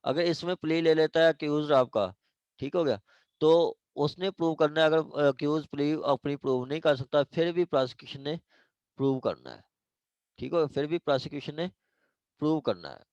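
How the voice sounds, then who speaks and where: A man's voice: a little high, expressive, darkish tone, no room sound, muffled recording. A man with a Pakistani accent giving an informal law lecture, explaining with examples, often hesitating and checking that listeners follow. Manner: repeats check phrases, hesitant, reads legal text slowly in English.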